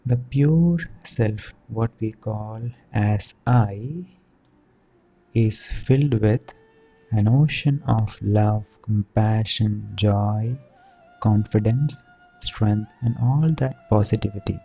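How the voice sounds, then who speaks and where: A man leads a guided meditation as a teacher, speaking soothingly and deliberately in short phrases with long pauses. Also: on the low side, vocal fry, warm tone, breathy edge, dull audio. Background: background music.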